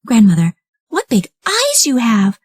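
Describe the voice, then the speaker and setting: A woman voicing a sweet, polite little girl in a storybook reading, with a high, eager voice. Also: huge pitch swings, a little slow, exaggerated voice. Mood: wondering.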